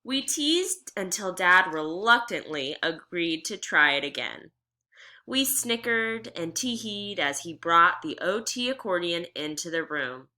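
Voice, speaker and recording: Animated woman reading a story, bright voice. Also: lowish voice. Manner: animated, deliberate storytelling delivery. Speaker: teacher reading aloud to students. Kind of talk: expressive read-aloud of a children's memoir.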